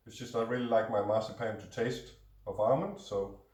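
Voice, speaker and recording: A hoarse, congested man hosting a cooking show, chatting casually as he mixes ingredients in a home kitchen. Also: fairly low pitch, fairly even intonation, normal speed, a little mellow. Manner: chatty, talks while mixing food. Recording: roomy echo, distant mic, faint noise.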